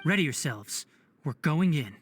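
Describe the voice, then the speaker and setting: A man's voice: fairly high pitch, lively intonation, normal speed, husky. A man voicing a serious, observant young forest ranger in a video game, speaking in a precise, analytical way. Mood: focused. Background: game music.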